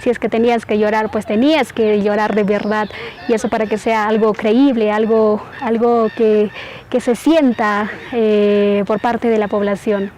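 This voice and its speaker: A woman giving a reflective interview, halting and hesitant, often adding little checking tags. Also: medium pitch, flat delivery, a little mellow. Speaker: radio drama performer.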